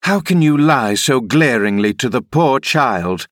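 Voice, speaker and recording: A man's voice: mid-range voice, sing-song, normal speed, a bit bright, no room sound, clean studio sound. A man reading as a loyal, sensible housekeeper woman in a classic novel, protective and admonishing. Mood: indignant. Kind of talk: audiobook character reading.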